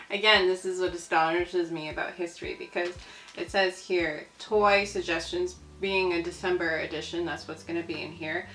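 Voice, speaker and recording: Bright-voiced, unhurried woman in a roomy space. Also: fairly low pitch, slight background noise. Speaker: video host. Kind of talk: casual chat while reading aloud from old newspapers. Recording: roomy echo, faint music behind.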